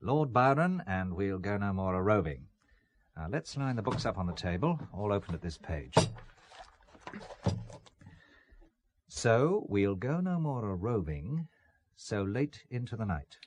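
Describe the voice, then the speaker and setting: An actor playing a shrewd, determined amateur investigator in an audio drama, assured and probing with a dry wit. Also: male voice, on the low side, sing-song, normal speed. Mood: intrigued, precise. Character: shrewd, determined amateur investigator, calm and dryly witty man. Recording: faint music behind the voice. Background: faint music.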